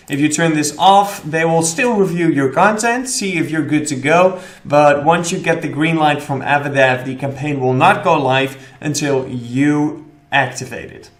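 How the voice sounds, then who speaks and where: A man's voice: fairly high pitch, a bit bright. A young man walking through ad campaign settings in a screen-recorded tutorial, explaining each option step by step with frequent "okay" check-ins. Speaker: affiliate marketing instructor. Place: indoor room at a desk.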